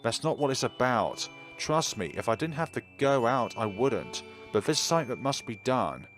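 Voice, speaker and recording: A man's voice: medium pitch, big pitch swings, moderate pace, fairly bright tone, faint music behind. Man playing a defensive, secretive teenage boy.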